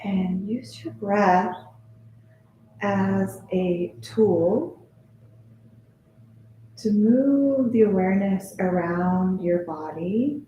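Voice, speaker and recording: Very slow, dark-voiced woman guiding meditation. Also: medium pitch, lo-fi sound. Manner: soothing, gentle, unhurried with long pauses. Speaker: yoga teacher leading guided meditation. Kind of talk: guided meditation, yoga nidra instruction. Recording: roomy echo with faint background noise.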